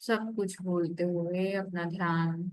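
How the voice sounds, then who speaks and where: A woman with an Indian accent leading a guided meditation, giving calm instructions. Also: lowish voice, slow, no room sound.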